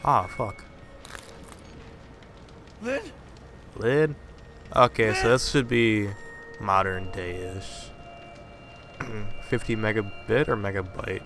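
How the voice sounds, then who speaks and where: A man's voice: on the low side, slow pace, long pauses, over music. A man playing a horror game, swearing and muttering casual asides, still slightly congested from a cold. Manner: profane, jumpy, muttering asides. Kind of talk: casual commentary.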